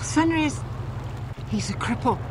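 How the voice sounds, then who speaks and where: A woman's voice: sits high, expressive, measured pace, background noise. A woman playing an earnest, loyal wife in a video game, pleading and insistent. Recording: loud music under the voice.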